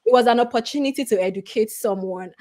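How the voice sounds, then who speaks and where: A woman's voice: a little high, fast talker, a little mellow. Nigerian woman, earnest, "you know" fillers. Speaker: guest speaker. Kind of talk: conversational panel talk.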